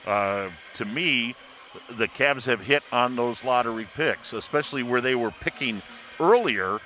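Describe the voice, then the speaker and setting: A man working as a sports announcer, giving polished basketball analysis in measured, emphatic phrases. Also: mid-range voice, bright, forceful tone, slight background noise.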